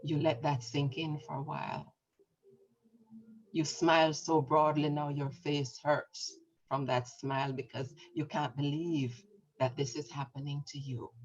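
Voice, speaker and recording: A woman leading a guided meditation, speaking softly and soothingly, drawing out her words. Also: low-pitched, little pitch movement, a little hiss or hum. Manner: soft, slow, soothing with drawn-out words. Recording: dull lo-fi recording.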